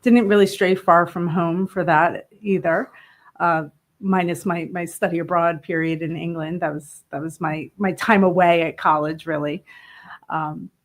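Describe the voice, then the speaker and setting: Chatty woman in her fifties, fairly low voice. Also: steady tone, unhurried. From northern New Jersey, USA. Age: 50s. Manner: chatty, laughs easily, trails off with ums. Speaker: interview guest, brewery co-owner. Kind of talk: casual interview.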